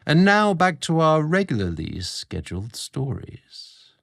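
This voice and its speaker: A British man narrating a scripted story, theatrical and deliberate in his phrasing, drawing out words as a podcast host. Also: fairly high pitch, huge pitch swings, creaky, a bit bright, no room sound, studio quality.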